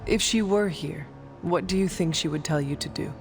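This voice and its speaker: A man voicing a solemn, dutiful warrior hero in a game, speaking formally and deliberately. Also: on the high side, gravelly, soft background music. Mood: gentle. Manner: formal, deliberate, few contractions.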